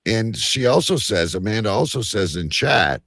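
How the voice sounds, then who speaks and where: Low gravelly guy hosting a live show, really animated, stop-start rambling. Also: normal speed, no room sound. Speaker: host of a live online show.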